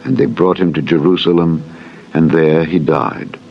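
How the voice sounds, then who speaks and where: A man's voice: extremely low pitch, steady tone, moderate pace, muffled recording. A man narrating a dramatized Bible reading in a solemn, formal manner. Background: music.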